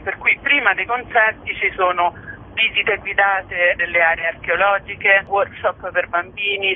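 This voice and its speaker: A woman speaking as an interview guest and festival curator, presenting events in a conversational way. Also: fairly low pitch. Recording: narrow, tinny phone line. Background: phone call to a radio show.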